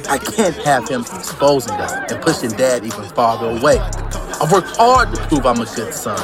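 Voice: mid-range voice, sing-song, normal speed, over loud music, a loud crowd, lightly acted. An actor playing a resentful, hot-tempered farm son in an audio drama, talking defiantly in street-wise slang. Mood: bitter, defiant. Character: resentful, hot-tempered hardworking farm son scheming against his brother.

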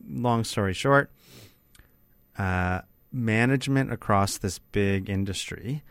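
Low-pitched man in earnest conversation. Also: takes it slowly, a bit bright, clear, resonant tone, no room sound, clean studio sound. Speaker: workshop facilitator and speaker, interviewing. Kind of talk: casual conversational interview.

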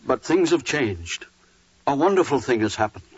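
A man's voice: mid-range voice, very animated, normal speed, husky, no room sound. An actor playing a troubled, dutiful older father in a biblical 1940s radio drama, grave and weighty. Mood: troubled, halting. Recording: muffled 1940s radio recording.

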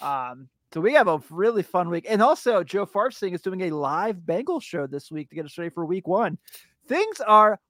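A man hosting a casual sports podcast, hyped and exclamatory, with a raspy voice today. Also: high-pitched, sing-song, fairly bright tone, dry recording, studio quality. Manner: hyped, exclamatory, drawn-out hype words. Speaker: podcast host.

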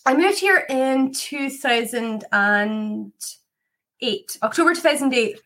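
A woman's voice: sits high, expressive, slow, fairly bright tone. A woman from Scotland, now a whiskey maker, chatting in an interview over a video call, full of "like" fillers and laughter. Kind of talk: casual interview chat.

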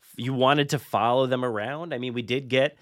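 A man hosting a podcast in casual chat, talking in a rambling, excitable way and often interrupting himself. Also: medium pitch, brisk, dry recording, studio quality. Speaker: podcast host.

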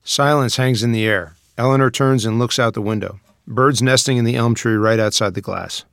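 A man's voice: mid-range voice, steady tone, normal speed, vocal fry, fairly bright tone, no echo, studio quality. A man reading stage directions as scripted narration for a screenplay.

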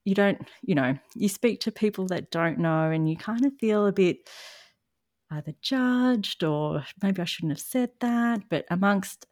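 A woman from Australia with an Australian accent, a guest coach chatting calmly and soothingly on a podcast over a remote call. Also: medium pitch, unhurried, dry recording, studio quality. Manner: calm, soothing and laughs often. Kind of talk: casual podcast chat.

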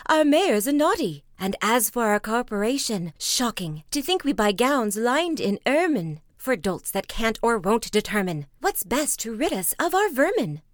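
Brief: woman reads as furious storybook townsfolk, high and scolding, dry sound. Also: very animated, normal speed, few pauses, dry recording. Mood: angry. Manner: loud, scolding, raised pitch. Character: angry crowd of townsfolk.

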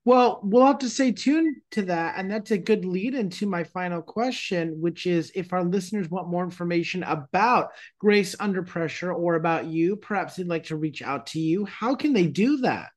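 A man's voice: sits high, moderate pace, few pauses. A man hosting an interview podcast, speaking in a polished, announcing style. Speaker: podcast host.

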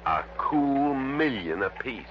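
An actor playing a businesslike American insurance man in a 1960s radio drama, explaining things deliberately and matter-of-factly. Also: medium pitch, very animated. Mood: businesslike briefing. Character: businesslike male insurance office man briefing an investigator. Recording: noisy, dull lo-fi old radio recording.

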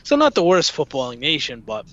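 A man with a bright, forceful tone muses about football, hesitant and rambling as he thinks out loud. His audio sounds dull and lo-fi, like a remote feed. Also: fairly high pitch, huge pitch swings, brisk. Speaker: podcast co-host. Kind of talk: casual football chat.

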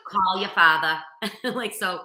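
A woman's voice: medium pitch, slow, sharp tone, echoey room. A woman with a strong Boston accent, a motivational speaker chatting earnestly as a podcast guest. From Boston area, Massachusetts. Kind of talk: casual interview chat.

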